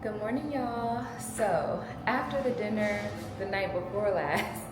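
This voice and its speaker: A woman's voice: mid-range voice, a little slow, very noisy. A young adult woman talking casually to her viewers as a travel vlogger, chatty and familiar. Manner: chatty and warm, addresses viewers as y'all. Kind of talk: casual talk to camera. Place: Texas home setting.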